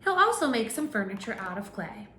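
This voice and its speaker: A woman teacher reads a picture book aloud to young children and stops to ask them questions. Her voice rises and falls with a lot of lively movement. The room is echoey, with a faint steady hum behind her. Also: on the high side, brisk. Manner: animated storytelling with questions to children.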